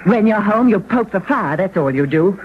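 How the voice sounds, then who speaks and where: A woman's voice: mid-range voice, lively intonation, moderate pace, close to an everyday voice. An actress playing an exasperated old peasant mother, scolding her son theatrically in a 1940s radio drama. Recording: muffled 1940s radio broadcast, faint noise.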